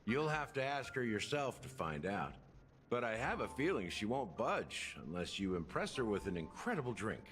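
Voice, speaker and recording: Suave, expressive older gentleman. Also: fairly low pitch, moderate pace. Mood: amused, calm. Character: suave older gentleman taking over a bar, philosophical. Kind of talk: video game voice acting. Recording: game music underneath.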